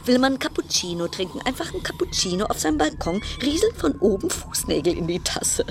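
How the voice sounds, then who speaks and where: A woman playing a wry, chatty cruise passenger travelling alone, musing with dramatic pauses in a radio play monologue. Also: a little high, big pitch swings, noisy background.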